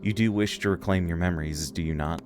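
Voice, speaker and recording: A man voicing a calm, slightly condescending magical talking crystal in a video game, with music behind. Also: low-pitched, fast talker, close to an everyday voice. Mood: knowing. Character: talking floating crystal, calm knowing witch's servant. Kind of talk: reading game dialogue aloud.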